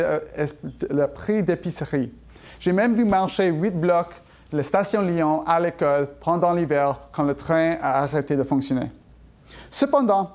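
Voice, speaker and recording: A man's voice: a little high, a little mellow. A man giving an earnest prepared campaign speech as a council candidate, stumbling over words and correcting himself in a language that seems second to him.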